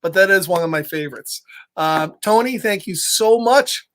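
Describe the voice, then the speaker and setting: A man's voice: sits high, animated, moderate pace, a bit bright. A middle-aged man reading a picture book aloud and chatting informally with viewers as a storytime host. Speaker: children's story reader. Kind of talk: storytime read-aloud with casual chat to viewers.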